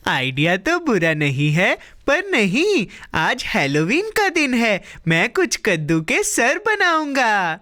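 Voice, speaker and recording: Little cartoon boy, high swoopy voice, unhurried, cheerful n childlike. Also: huge pitch swings, a bit bright, dry recording, studio quality, heavily acted. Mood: playful, curious.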